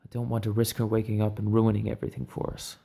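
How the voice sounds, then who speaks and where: A man acting a calm, reassuring leader of a covert team in an amateur audio drama. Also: lowish voice, quick pace, vocal fry, slightly rough. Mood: resigned, cautious.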